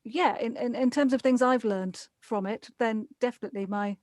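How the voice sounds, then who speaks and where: A woman's voice: on the high side, moderate pace, no echo, clean studio sound. A woman answering conversationally in an interview as an audio producer.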